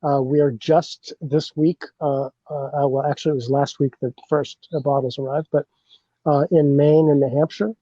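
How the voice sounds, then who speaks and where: A man with a mellow, slightly raised voice, rambling about his marketing plans with frequent "uh"s and restarts. The sound is dull and lo-fi, dry, and without much top end. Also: fairly high pitch, level tone, normal speed, no echo. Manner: rambling, many fillers and restarts. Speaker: bourbon brand representative, podcast guest. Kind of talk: casual podcast chat.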